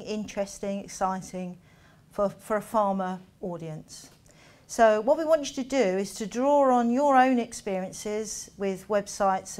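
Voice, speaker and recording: Middle aged woman judge giving a pep talk, brisk and upbeat, slightly high voice. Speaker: competition judge. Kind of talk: addressing contestants.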